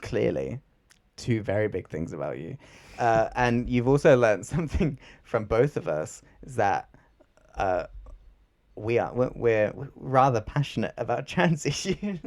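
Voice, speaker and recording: A man's voice: medium pitch, lively intonation, a little slow, a little mellow. A young man co-hosting a podcast, talking in a rambling, laid-back way with lots of fillers. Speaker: podcast co-host. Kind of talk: casual chat.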